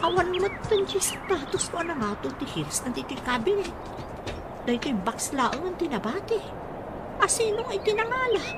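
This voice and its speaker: A woman playing a vain, fussy mother with a sharp temper and an Ilocano accent in a radio comedy drama. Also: very high-pitched, sing-song, moderate pace. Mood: irritated, scolding. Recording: noisy radio drama recording, ambient sounds.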